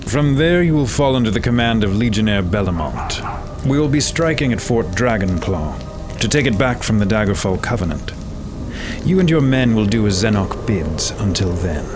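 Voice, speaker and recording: A man playing a menacing, commanding cult lord in a fantasy audio drama, giving orders in an imperious, deliberate way. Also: fairly low pitch, big pitch swings, noisy background. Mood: cold, commanding.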